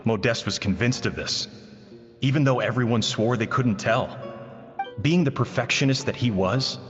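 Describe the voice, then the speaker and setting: A man playing a melancholy adventurer reminiscing alone in a measured, wistful game monologue with echoing reverb. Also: mid-range voice. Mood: somber. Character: melancholy, regretful male adventurer reminiscing in solitude. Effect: long echoing reverb. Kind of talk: dramatic video game monologue. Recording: long reverb, slightly dull, music behind.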